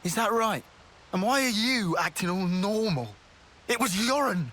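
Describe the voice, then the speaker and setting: An actor playing a gruff, argumentative young male soldier in a game cutscene, blunt and challenging. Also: high-pitched, lively intonation, measured pace, bright, forceful tone, full character voice. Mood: irritated. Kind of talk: video game cutscene acting.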